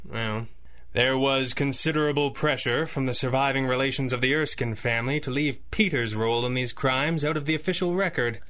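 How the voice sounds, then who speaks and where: Actor for a debonair pulp hero, mid-pitched and crisp-edged, theatrical, wry, formal 1930s radio adventure delivery. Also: male voice, a little fast, fairly bright tone, natural voice. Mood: sober. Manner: formal, smooth-talking, theatrically composed, droll. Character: suave, confident masked superhero and mentor, wryly amused. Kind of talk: 1930s-style radio adventure serial acting.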